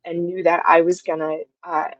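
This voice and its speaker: A woman's voice: fairly low pitch, flat delivery, moderate pace, dull audio. A woman in her twenties chatting casually as an interview guest, thinking aloud as she talks. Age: 20s. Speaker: guest, audio drama writer.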